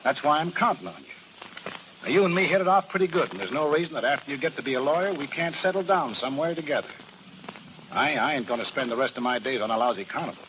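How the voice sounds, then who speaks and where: Actor as a slangy, animated carnival barker father. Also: on the high side, fast talker, lo-fi sound, close to an everyday voice. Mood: coaxing, fatherly. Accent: American. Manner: slangy, folksy, ungrammatical. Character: rough slangy carnival barker, scheming protective father, quick-tempered. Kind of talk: 1930s radio acting. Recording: noisy vintage 1930s radio recording.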